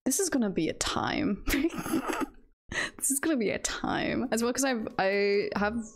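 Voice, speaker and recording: A woman's voice: on the high side, a little slow. A woman livestreamer giving casual, jokey gameplay commentary, repeating short phrases and swearing now and then. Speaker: livestreamer, VTuber. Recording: game music behind the voice. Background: video game music.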